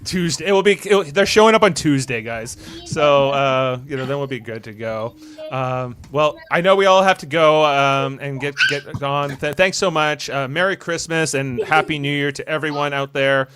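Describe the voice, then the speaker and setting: A man hosting a casual group podcast chat, speaking in a halting, rambling way. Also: a little high, fairly bright tone, smooth. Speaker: host of a fan script-reading podcast.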